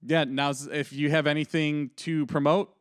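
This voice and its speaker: Podcast host: man, mid pitch, smooth clear voice, dry close sound, easygoing. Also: little pitch movement, moderate pace, no echo. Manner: easygoing, agreeable, laughs often. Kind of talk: casual chat.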